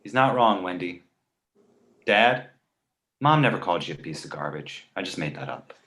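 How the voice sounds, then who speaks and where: A man voicing a precocious, manipulative baby boy with a smart-alecky comic delivery in an animated comedy read. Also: deep voice, normal speed. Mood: cheeky, pleading. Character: precocious, wisecracking, manipulative two-month-old baby boy.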